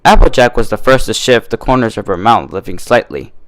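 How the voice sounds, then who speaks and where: A man's voice: medium pitch, fairly quick. A man reading scripted story narration as a fan-fiction reader.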